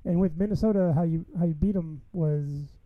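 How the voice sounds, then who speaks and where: A man's voice: a little high, a little fast, narrow, tinny audio. A man co-hosting a casual sports talk show, explaining his points in a matter-of-fact way.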